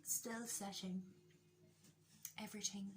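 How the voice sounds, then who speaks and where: A woman's voice: medium pitch, level tone, slow pace. An Irish woman chatting casually through a makeup tutorial, candid, joking and self-deprecating, with a bit of swearing. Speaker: beauty vlogger doing a makeup tutorial.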